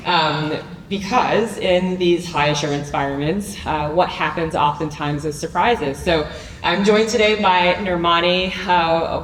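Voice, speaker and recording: A woman's voice: low-pitched, reverberant, far from the mic, a little hiss or hum, poor audio. A woman moderating a conference panel in a large room, chatty and upbeat, with many fillers.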